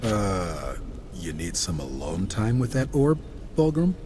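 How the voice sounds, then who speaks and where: Cocky, wisecracking warrior, lively swings. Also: male voice, sits low, takes it slowly, noisy background, full character voice. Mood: sarcastic. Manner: sarcastic, teasing. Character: wisecracking, cocky horseman, brash younger-brother gunslinger type. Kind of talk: video game cutscene acting.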